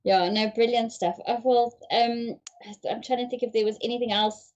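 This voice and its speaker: A woman's voice: a little high, fairly even intonation, normal speed, darkish tone, dull audio. A woman hosting a casual online interview, asking questions with small apologetic asides over a video call. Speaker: host.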